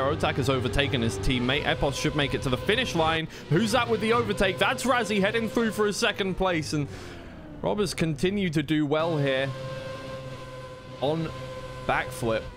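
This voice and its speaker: A man giving live commentary on a racing game in an informal, slightly flustered way, thinking aloud between calls. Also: on the high side. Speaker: esports commentator and streamer. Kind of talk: live racing game commentary mixed with casual stream chat. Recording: clear noise, game car engines behind.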